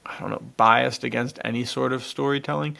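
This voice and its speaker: Enthusiastic young American man, creaky voice. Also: mid-range voice, steady tone, a little fast, pushed and bright. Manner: enthusiastic, slangy. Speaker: podcast co-host. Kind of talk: casual chat.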